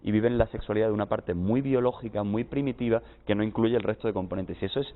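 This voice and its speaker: Fast-talking Spanish man, tinny audio. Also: fairly low pitch. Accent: Spanish (Spain). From Spain. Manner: explanatory, lists points with frequent "¿no?". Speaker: guest psychologist. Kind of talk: TV panel discussion.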